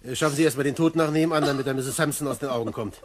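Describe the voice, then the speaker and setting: A medium-pitched male inspector, played by an actor in a radio crime play. He is soothing and fatherly in his reassurance, yet brisk and authoritative. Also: crowd noise, natural voice. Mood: businesslike. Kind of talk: German radio crime drama acting.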